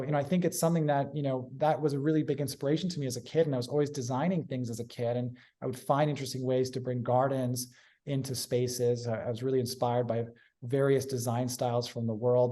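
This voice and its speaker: A man's voice: mid-range voice, warm tone. A man from Hong Kong, a guest in an interview, giving earnest, articulate explanations. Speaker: guest, urban agriculture consultant. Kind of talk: interview answers.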